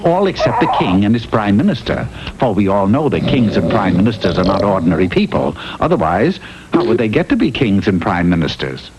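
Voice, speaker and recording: A man's voice: lowish voice, sing-song, brisk, highs cut off. A man playing a droll, theatrical storyteller narrating a comic fairy tale for a classic cartoon. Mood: droll storytelling. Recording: noisy old TV cartoon recording.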